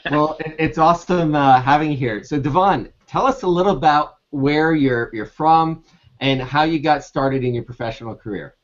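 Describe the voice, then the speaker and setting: A man co-hosting an online hangout, reading a guest introduction in a relaxed, steady way. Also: a little high, moderate pace. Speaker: co-host. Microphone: video call. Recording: muffled lo-fi video call audio.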